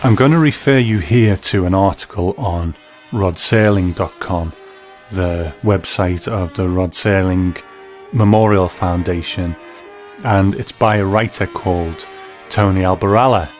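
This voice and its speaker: A man's voice: low-pitched, measured pace, soft background music. A man hosting a talk program, giving scripted commentary and reading from articles. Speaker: host.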